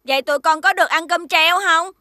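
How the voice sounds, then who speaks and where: A woman's voice: very high voice, quick pace, pushed and bright, no room sound, clean studio sound. A woman voicing a small, cute magical creature in a children's cartoon, childlike and inquisitive. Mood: curious. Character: small, cute, curious blue-green magical creature friend.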